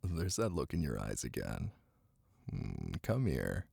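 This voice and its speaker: A man playing an affectionate, playful boyfriend in an intimate ASMR roleplay, with a deep, husky voice and soft, teasing delivery. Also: wildly swooping pitch, no echo, heavily acted. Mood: tender, affectionate. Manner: soft, unhurried, teasing, with murmured pauses. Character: affectionate, playful, ticklish boyfriend reading aloud to partner. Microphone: close microphone. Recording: close, dry, with rain ambience. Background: rain.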